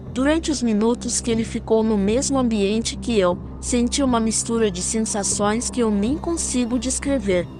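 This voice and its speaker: A woman narrating a scripted first-person story. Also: on the high side.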